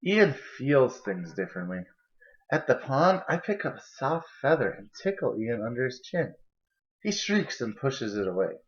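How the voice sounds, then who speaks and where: A young man reads a children's picture book aloud, narrating at a medium pitch. The recording is dull and lo-fi, picked up indoors by a camera a short way off. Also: sing-song, normal speed. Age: young adult. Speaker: storybook reader. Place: indoor kitchen and living room.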